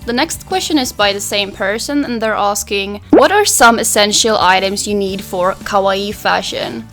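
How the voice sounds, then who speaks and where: A young woman from Finland whose first language is Swedish chats easily through her makeup routine. She speaks at a mid pitch, soft and relaxed while she works, recorded close up in a small bedroom with faint music underneath. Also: moderate pace. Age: young adult. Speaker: beauty vlogger. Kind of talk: makeup tutorial with Q&A. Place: small pastel bedroom, indoors.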